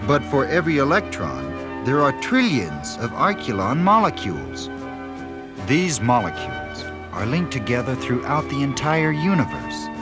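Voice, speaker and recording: An actor playing an idealistic galactic peacemaker, speaking solemnly and earnestly in a 1970s science fiction audio drama. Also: male voice, mid-range voice. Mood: earnest, explaining. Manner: earnest, solemn, measured explaining. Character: idealistic royal councilman, visionary galactic peacemaker.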